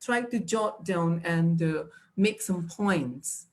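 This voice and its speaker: A woman's voice: fairly low pitch, takes it slowly. A young woman, teaching exam tips patiently with many fillers and restarts. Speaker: IELTS trainer. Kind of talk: live online teaching.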